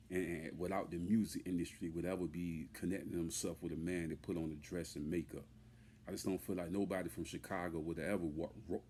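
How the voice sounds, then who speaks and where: Deep-voiced man ranting in street slang. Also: warm tone, slight background noise. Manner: emphatic, repetitive, indignant. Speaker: hip-hop news commentator. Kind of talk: unscripted opinion rant, street slang.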